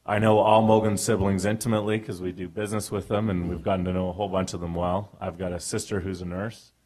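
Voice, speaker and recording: A middle-aged man from Canada talks to a live audience through a handheld mic on a small event stage. His voice sits low, and he speaks conversationally, asking the room questions as he pitches. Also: level tone, fast talker, mellow. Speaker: company executive, guest. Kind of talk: onstage interview.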